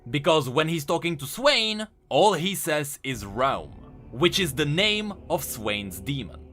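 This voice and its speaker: The kind of bright, forceful male narrator heard on a gaming lore video, explaining emphatically over music. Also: fairly high pitch, very animated, a little slow. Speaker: host of a video game lore channel. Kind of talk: enthusiastic scripted commentary.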